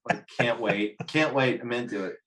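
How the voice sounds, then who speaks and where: A man bantering casually on a podcast, excitable, sarcastic and sweary, often acting out imagined quotes. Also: mid-range voice, moderate pace. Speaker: podcast panelist.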